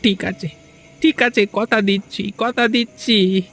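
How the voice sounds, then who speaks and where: Lonely obsessed widower, mournful, high voice, dragging pace, cartoon melodrama, background music. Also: male voice, steady tone, slow pace, fairly bright tone, exaggerated voice. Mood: devoted resolve. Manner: mournful, pleading, devoted. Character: lonely, obsessed fisherman grieving his dead wife. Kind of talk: Bengali cartoon melodrama acting.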